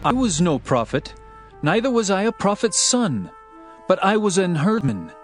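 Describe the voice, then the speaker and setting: A man's voice: high-pitched, very animated, moderate pace. A man playing an earnest herdsman prophet, solemn and declamatory, in a dramatized scripture reading. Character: Amos, earnest male herdsman prophet proclaiming judgment. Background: music.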